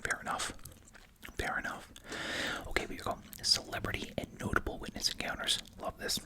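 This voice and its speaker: An ASMR host whispers right up at the microphone in a breathy, unvoiced voice. The delivery mixes reading aloud with casual chatty asides, with gum chewing through the words. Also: moderate pace. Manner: chewing gum while talking.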